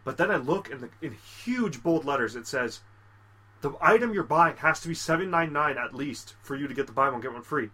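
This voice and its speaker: A man's voice: mid-range voice, lively intonation, fairly quick, pushed and bright, husky. A young man co-hosting a podcast, telling rambling crude stories in casual chat, laughing and slipping into mock voices for quoted people. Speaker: podcast co-host.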